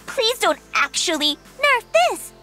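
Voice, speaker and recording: A woman's voice: extremely high pitch, sing-song, unhurried, bright, forceful tone, faint music behind, full character voice. An actress voicing a cocky young female gamer mech pilot in a game, with a sassy, teasing, bubbly delivery. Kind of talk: game voice lines.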